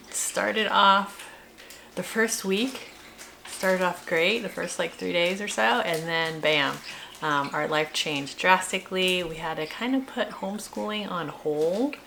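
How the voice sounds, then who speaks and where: A woman's voice: fairly low pitch, bright, forceful tone, a little hiss or hum. A middle-aged woman chatting casually to viewers in a rambling, self-questioning way, like a parent vlogger. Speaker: homeschooling mother and vlogger. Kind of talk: casual chatty vlog reflection.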